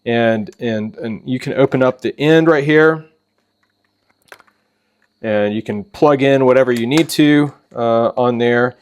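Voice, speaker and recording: Casual, halting man demonstrating gear. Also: mid-range voice, clear, resonant tone. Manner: halting, rambling, informal. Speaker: host of a preparedness channel. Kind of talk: casual product walkthrough.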